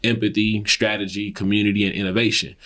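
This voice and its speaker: Low-pitched young Detroit man, slangy. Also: quick pace. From Detroit, United States. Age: 20s. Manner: slangy, expressive way of talking. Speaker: podcast guest, community relations consultant. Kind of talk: casual conversational interview.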